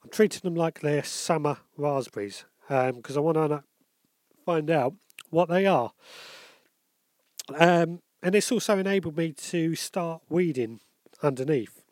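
A man's voice: a little high, measured pace, a little mellow, no room sound. Congested man hosting a podcast. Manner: rambling, lots of ums and self-corrections. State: bunged up with a cold. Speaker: podcast host. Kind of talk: casual solo podcast.

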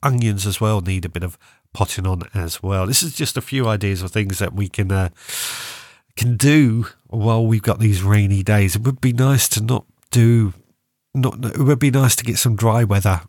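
A man's voice: fairly low pitch, moderate pace, a little mellow, dry recording, studio quality. A man hosting a gardening podcast, congested from a cold and a sore throat, chatting casually and often losing his thread. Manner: rambling, self-correcting, chatty. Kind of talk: casual solo podcast chat.

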